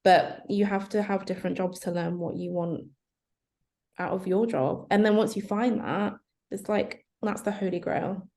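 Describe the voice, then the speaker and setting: A woman's voice: medium pitch, fairly even intonation, moderate pace, darkish tone. A young woman guest on a podcast chat, talking candidly and conversationally about her work. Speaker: guest, head of SEO. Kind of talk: casual online chat.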